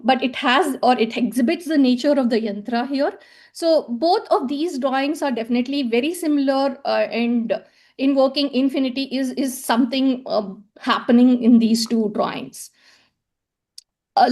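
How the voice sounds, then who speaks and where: A woman's voice: sits high, expressive, normal speed, a little mellow. A woman from South India, giving an academic presentation with slides, hesitant and full of fillers and repeated words. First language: Tamil. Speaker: conference presenter.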